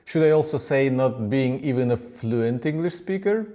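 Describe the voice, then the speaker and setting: A man with a strong Ukrainian accent speaks straight to the camera in English, his second language. His voice is dark and mellow, and his manner is plain and self-deprecating, offering encouraging advice. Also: medium pitch, expressive, normal speed. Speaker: company founder sharing advice.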